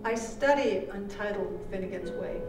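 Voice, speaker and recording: A woman author reading her own literary text aloud at a live event, with measured, deliberate phrasing. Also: medium pitch, loud music behind the voice. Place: live performance event in a room. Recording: roomy, distant microphone with background noise.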